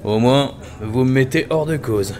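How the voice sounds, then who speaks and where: A man's voice: mid-range voice, a little slow, a little mellow, lightly acted. A man playing a put-upon, eager-to-serve chalet barman in a comic audio drama. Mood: obliging. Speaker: amateur voice actor in an audio series.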